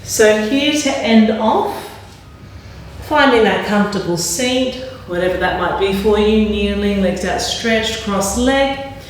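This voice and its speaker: A woman leading a yoga class with calm, gentle spoken cues. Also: medium pitch, takes it slowly, a little hiss or hum. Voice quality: slightly raspy when straining. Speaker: yoga instructor. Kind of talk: guided yoga class instruction. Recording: very echoey room, mic at a distance.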